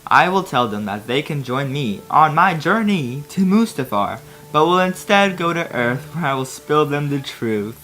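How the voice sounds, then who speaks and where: A woman's voice: low-pitched, sing-song, normal speed, hardly any pauses, fairly bright tone. A woman voicing a small old male alien master turned villain in a comic story, smug, scornful and short-tempered. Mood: smug, scheming. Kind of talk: comic fan fiction narration in character.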